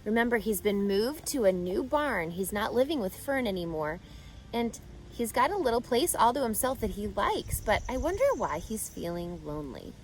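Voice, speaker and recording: A woman's voice: mid-range voice, sing-song, normal speed, slight background noise, poor audio. A woman narrating a children's book expressively as a read-aloud host, speaking directly to young listeners.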